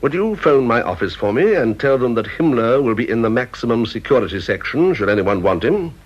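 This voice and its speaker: A man's voice: mid-range voice, big pitch swings, fairly quick, breathy edge. An actor playing a stern, exacting British senior scientist in a radio drama, clipped and sarcastic as he interrogates and reprimands. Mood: angry, scornful. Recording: dull, muffled old radio recording.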